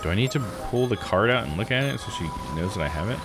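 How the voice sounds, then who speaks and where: A man's voice: lowish voice, lively intonation, fast talker, music in the background. A man giving casual live playthrough commentary as a game streamer.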